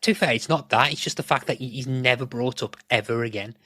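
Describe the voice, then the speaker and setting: A man's voice: mid-range voice, fast, pushed and bright, slightly rough, no echo. A man co-hosting a podcast in casual discussion, rambling and often correcting himself as he goes. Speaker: podcast co-host.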